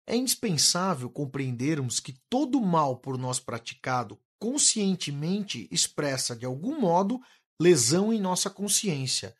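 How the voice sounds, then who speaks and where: A man with a Brazilian Portuguese accent playing a kindly, instructive director of a spirit-world refuge in a radio drama, speaking in a measured, formal way. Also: high voice, slightly rough, full character voice.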